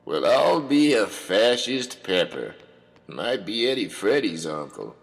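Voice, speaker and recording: A man's voice: lowish voice, very animated, slow, gravelly, long reverb tail, full character voice. A man playing a wary, practical backwoods father in an audio comedy drama, with folksy rural phrasing. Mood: dry, dismissive.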